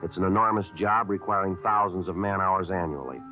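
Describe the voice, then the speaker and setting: An actor playing a terse police sergeant who also narrates, speaking in a flat, clipped, deadpan way. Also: male voice, sits low, brisk, faint music behind, lightly acted. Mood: narrating. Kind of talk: 1950s radio drama acting. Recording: tinny 1950s radio broadcast.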